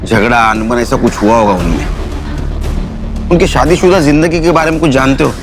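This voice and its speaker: Senior cop, male actor, TV crime drama: stern, authoritative, terse, mid-pitched voice, clear musical underscore. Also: moderate pace, over music, natural voice. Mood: probing. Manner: authoritative, questioning, terse orders. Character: commanding male senior police officer, stern, probing investigator. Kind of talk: Hindi TV police procedural acting.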